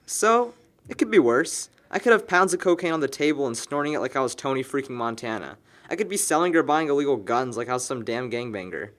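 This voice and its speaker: A man's voice: medium pitch, animated, quick pace, a bit bright, natural voice. An actor playing a sarcastic, exasperated young man planning a house party in a film drama, talking in wordy, slangy wisecracks. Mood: exasperated, defensive. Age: young adult. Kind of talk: naturalistic amateur film dialogue.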